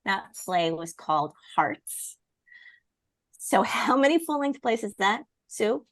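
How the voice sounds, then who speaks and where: A woman's voice: medium pitch, sing-song, slow pace, dry recording. A woman co-hosting a conversational interview and putting questions to a guest. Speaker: interviewer, co-host.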